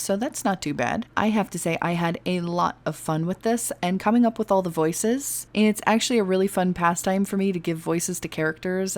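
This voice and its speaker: A woman's voice: lowish voice, a little mellow. A woman storyteller reading fiction expressively and chatting casually as host of her own channel. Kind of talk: expressive audiobook narration and casual outro.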